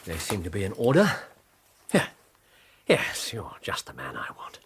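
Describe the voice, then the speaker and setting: An actor playing a commanding, sardonic British detective in a radio drama, precise and theatrical. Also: male voice, low voice, wildly swooping pitch, measured pace, a bit bright, slightly rough, exaggerated voice. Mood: brisk, businesslike. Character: brilliant, commanding, sardonic male private detective.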